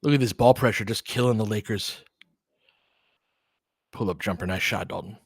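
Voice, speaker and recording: A man's voice: lowish voice, moderate pace, gravelly, husky, no room sound, clean studio sound. A man doing live audio play-by-play of basketball, mixing conversational analysis with replies to listeners as he thinks aloud. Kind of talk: live basketball commentary mixed with casual analysis and chat replies.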